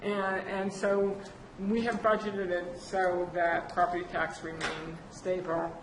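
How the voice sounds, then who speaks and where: A woman presenting a county budget update at a public board meeting, halting with many fillers and restarts. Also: on the low side, flat delivery. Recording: echoey chamber with air conditioner noise.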